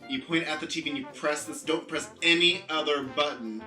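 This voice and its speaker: A man's voice: fairly high pitch, fairly quick, sharp tone, roomy sound, faint music behind. Man playing a flustered young tech support guy. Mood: helpful. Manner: repetitive, stammering explanations. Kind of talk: comic advert sketch in radio play.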